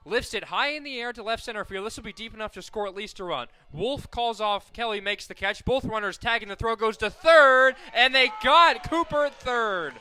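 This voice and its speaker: An American man calling live softball play-by-play as a radio announcer, conversational and ready to lift with the action. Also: very high-pitched, moderate pace, few pauses, clear, resonant tone. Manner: conversational between plays, excited calls on action.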